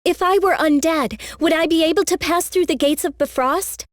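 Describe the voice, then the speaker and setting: High-voiced earnest young princess. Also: female voice, moderate pace, no echo, clean studio sound. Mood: urgent. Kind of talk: video game cutscene voice acting.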